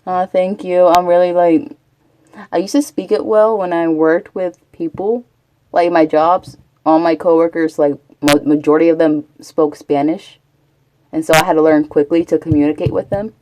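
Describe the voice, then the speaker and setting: A woman's voice: on the low side, fairly even intonation, moderate pace, dark tone, dry recording, poor audio. A chatty woman from Texas, rambling casually as a live streamer and answering her chat. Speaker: Twitch streamer.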